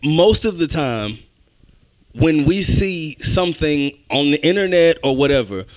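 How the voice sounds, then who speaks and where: Podcast co-host: young man, high-pitched, emphatic, animated debater. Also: a little slow, rough recording. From United States. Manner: animated, emphatic, talks over others. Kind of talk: casual debate chat.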